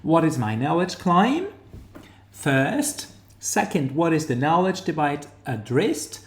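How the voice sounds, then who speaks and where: A man with a New Zealand accent talks students through his method in a slow, unhurried lecture. He stops often to search for words, explaining as he goes. His voice carries a noticeable echo from the room. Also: fairly high pitch, very animated. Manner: halting, pauses to find words, explanatory. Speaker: academic lecturer. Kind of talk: screen-share tutorial lecture.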